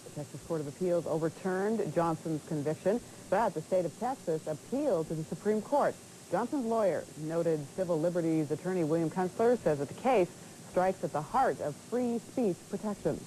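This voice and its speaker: A woman's voice: fairly low pitch, lively intonation, moderate pace, mellow, poor audio. A woman anchor reading scripted television news, heard from a hissy old broadcast tape.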